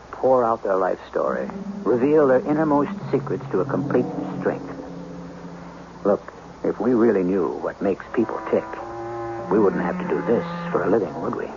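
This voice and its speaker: A man's voice: fairly low pitch, vocal fry, background noise. A man hosting and narrating a vintage radio mystery, theatrical and wry, speaking straight to the listener. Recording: muffled old radio recording with music behind.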